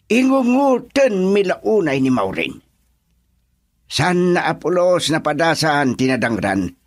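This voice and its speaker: A man's voice: on the high side, a bit bright, full character voice. An actor with an Ilocano accent playing a stern, proud middle-aged father in a radio drama. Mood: stern, challenging.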